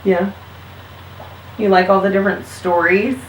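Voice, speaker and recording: A woman's voice: medium pitch, steady tone, unhurried, slight background noise. A woman in her thirties hosting a casual family chat show in an upbeat, chatty way. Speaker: host. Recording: roomy sound from a distant mic.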